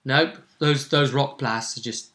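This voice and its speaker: Bright-voiced man telling a dramatic story. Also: medium pitch, unhurried. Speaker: game master recapping a session. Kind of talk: animated conversational storytelling.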